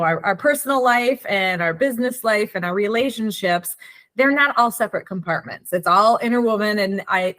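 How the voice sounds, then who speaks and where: A woman podcast host with a somewhat high voice, chatty and eager. She rambles and restarts as she asks her questions, sounding curious and friendly. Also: fairly bright tone. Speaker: podcast host, life coach. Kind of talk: podcast interview.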